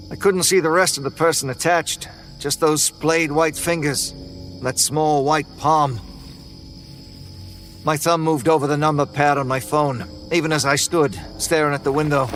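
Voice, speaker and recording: A man telling a first-person horror story in a tense, measured way. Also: fairly high pitch, a bit bright. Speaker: narrator.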